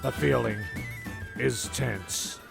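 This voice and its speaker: A man giving rambling, sardonic comic narration, halting and profane as he goes. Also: mid-range voice, vocal fry. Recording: loud music under the voice.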